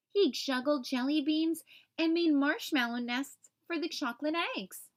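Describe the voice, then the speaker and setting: A woman reading a children's picture book aloud as an expressive storyteller for young listeners. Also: high-pitched.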